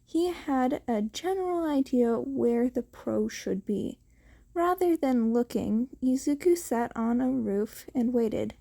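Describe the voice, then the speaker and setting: A woman narrates fiction in a fairly high, mellow voice. Her reading is unhurried and a little halting, like an amateur audiobook. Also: animated, vocal fry. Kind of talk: scripted story narration.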